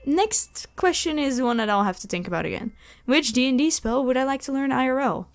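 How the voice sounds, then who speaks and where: A woman's voice: high voice, animated, brisk. A woman talking casually and animatedly to her listeners, in a self-effacing way, like a creator answering questions. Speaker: audio roleplay creator, channel host. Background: background music.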